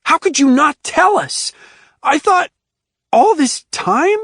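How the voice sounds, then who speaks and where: A woman doing Oscar, a brash, excitable young hero. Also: a little high, a little slow, husky, dry recording, studio quality, voice pushed up, heavily acted. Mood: angry. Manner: loud, blurting, excitable.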